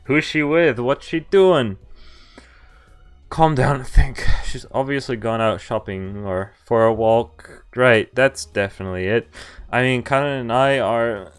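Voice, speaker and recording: A man's voice: mid-range voice, unhurried, smooth, faint music behind, lightly acted. Visual novel protagonist read by a man: regretful young man in love, earnest, emphatic, clean tone. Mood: panicked, self-scolding. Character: anguished, lovelorn young man full of regret. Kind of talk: dramatic read-aloud of visual novel narration.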